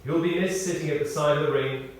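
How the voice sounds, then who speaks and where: A British man reads a scripted memorial tribute as a eulogist, telling anecdotes in a measured way with pauses and emphasis. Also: a little high, fairly even intonation. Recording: very echoey, distant mic, faint noise.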